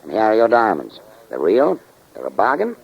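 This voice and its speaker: An actor playing a tough, shrewd dealer in stolen goods in a 1940s radio crime drama, curt and slangy. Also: male voice, on the low side, wildly swooping pitch, moderate pace, creaky, husky, full character voice. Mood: sly, hushed dealing. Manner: curt, slangy, hard-boiled. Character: tough, shrewd male fence dealing in stolen diamonds. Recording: muffled, dull 1940s radio recording.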